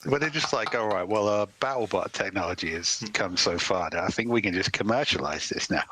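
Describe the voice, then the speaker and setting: A man's voice: fairly low pitch, expressive, a little fast, sharp tone, slight background noise, poor audio. A man co-hosting a podcast, riffing on absurd ideas in casual chat and laughing at his own jokes. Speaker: podcast co-host.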